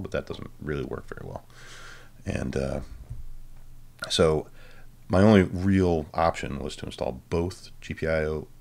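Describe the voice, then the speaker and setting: A man giving a casual, halting tech demo of a hobby project, dryly informal and self-deprecating, with a gravelly voice. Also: a bass voice, darkish tone. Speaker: presenter of a hobby electronics project.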